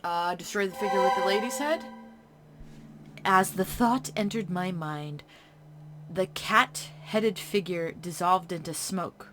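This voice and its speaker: A woman playing a horror visual novel, reading its text in a hush and breaking off into casual reactions. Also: lowish voice, takes it slowly, music in the background. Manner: hushed reading broken by chatty reactions. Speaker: game streamer. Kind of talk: reading a game's text aloud with casual commentary.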